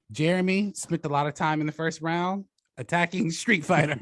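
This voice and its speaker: A man hosting and judging a casual debate, speaking haltingly with repeated words and frequent chuckles. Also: on the high side, dry recording. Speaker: debate host and judge. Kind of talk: casual moderating and commentary.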